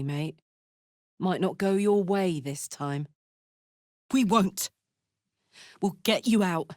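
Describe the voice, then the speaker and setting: A woman's voice: medium pitch, no echo, studio quality. An actress with a British accent playing a brash, big-hearted, battle-hardened warrior woman in a fantasy video game, blunt and earthy in manner. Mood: menacing, then confident, then tender, earnest.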